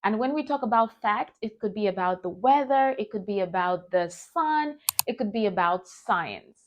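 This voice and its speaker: A young adult woman whose first language is Yoruba, teaching a beginner English grammar lesson slowly and carefully. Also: medium pitch, animated, slight background noise. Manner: slow, careful, reads example sentences deliberately.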